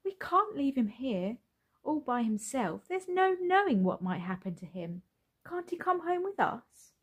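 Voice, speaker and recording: A woman's voice: high voice, big pitch swings, measured pace, slightly rough, voice pushed up, heavily acted. A woman voicing a kind-hearted, decisive mother in a children's story, caring and full of exclamation. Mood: pleading. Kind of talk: children's storybook read aloud.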